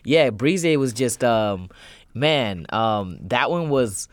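A man being interviewed as a musician guest in a radio studio, talking casually with lots of ums and getting lively when telling stories. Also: mid-range voice, takes it slowly, no echo. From United States. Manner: hesitant, full of ums, lively when storytelling.

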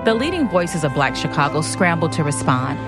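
A woman's voice: low-pitched, sing-song, brisk. A woman narrating history in a scripted, dramatic documentary style. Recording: loud music bed under narration.

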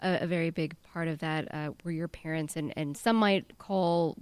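A woman's voice: deep voice, fairly even intonation, moderate pace, clear, resonant tone, dry recording. A woman reporter hosting a radio interview, asking friendly questions and laughing lightly. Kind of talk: interviewing a guest. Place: radio studio.

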